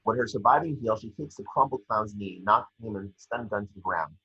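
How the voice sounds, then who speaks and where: A man's voice: lowish voice, fairly quick, pushed and bright, slightly rough. A man reading scripted scene descriptions as narrator, stumbling now and then. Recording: echoey, muffled home microphone.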